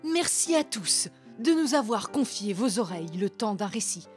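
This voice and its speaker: A woman's voice: a little high, very animated, moderate pace, faint music behind. A woman hosting a storytelling show, narrating scripted folk legends in a theatrical, literary manner. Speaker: host and storyteller.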